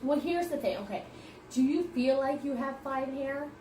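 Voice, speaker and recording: A woman with a fairly high voice speaks with a teacher's confidence, laying out the rules plainly. She sounds set back from the mic in a reverberant room. A faint hiss sits under her voice. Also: lively intonation, moderate pace, darkish tone, far from the mic. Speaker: podcast co-host, hairstylist. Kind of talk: casual chat.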